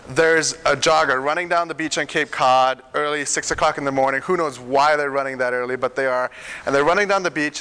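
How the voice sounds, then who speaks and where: A man's voice: fairly high pitch, bright, forceful tone. A young man from Singapore and Malaysia giving an informal talk to a small student group, telling stories in a conversational way with frequent chuckles and fillers.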